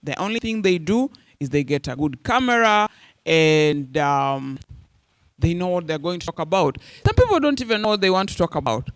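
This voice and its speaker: A man's voice: fairly high pitch, sing-song, normal speed, clear, resonant tone, dry recording. A Kenyan man in his forties, a radio journalist speaking earnestly and directly to media students. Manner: earnest, direct, addresses listeners as "you guys".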